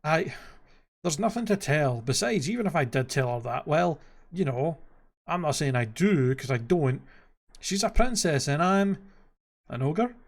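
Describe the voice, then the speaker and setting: A man acting as a gruff but shy male ogre from an animated fairy tale, speaking in a halting, bashful, defensive way. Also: fairly high pitch. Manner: halting, bashful, self-correcting.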